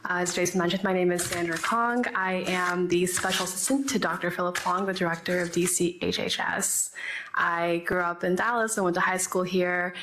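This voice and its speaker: A woman's voice: on the low side, fairly even intonation, moderate pace, fairly bright tone, slight background noise. A woman in her twenties moderating a panel discussion in a friendly, organized way. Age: 20s.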